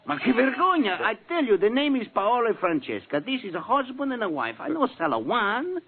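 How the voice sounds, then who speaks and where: Excitable, singsong Italian goat keeper. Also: male voice, very high voice, a little fast, slightly rough, narrow, tinny audio, full character voice. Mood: offended. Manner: excitable, broken English, generous host. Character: jovial, sentimental Italian immigrant man who keeps goats. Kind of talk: 1940s radio comedy acting. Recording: scratchy old radio, thin band, hiss.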